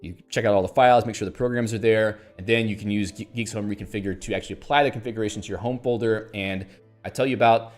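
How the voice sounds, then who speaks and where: A man with a lowish voice hosts a live tech stream, chatting casually and reading viewer comments. His talk wanders, full of fillers and restarts. Faint music plays under his voice. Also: fairly even intonation, fast talker. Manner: rambling, conversational, many fillers and restarts. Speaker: livestream host.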